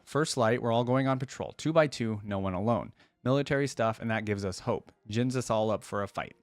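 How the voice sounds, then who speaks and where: A man's voice: on the low side, no room sound. A man hosting a reaction video, talking casually and reading a story aloud.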